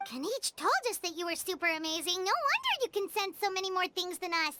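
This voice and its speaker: A woman voicing a tiny, cheerful childlike fairy companion in a video game, with a squeaky, bubbly, chatty delivery. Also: big pitch swings, quick pace, heavy background noise. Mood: teasing, impressed. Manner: refers to self in third person, bubbly. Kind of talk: video game character voice acting. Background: game music.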